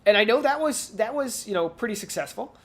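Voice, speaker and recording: A podcast co-host talks in a casual, off-the-cuff way. The delivery is hesitant and rambling, circling back over words, at an ordinary middle pitch. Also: animated, brisk. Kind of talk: casual pop culture discussion.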